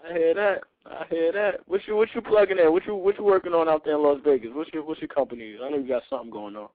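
A man hosting a call-in radio show, talking loose and hyped with slang and shout-outs. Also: fairly high pitch, fast, no echo. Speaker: radio host. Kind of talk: casual call-in radio chat. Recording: tinny phone-like line.

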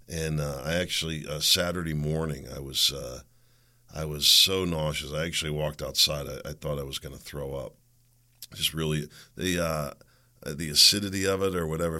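Raspy, gravelly-voiced male commentator. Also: sits low. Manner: impassioned, rising to loud rhetorical questions then rambling asides. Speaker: host. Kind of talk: solo political commentary.

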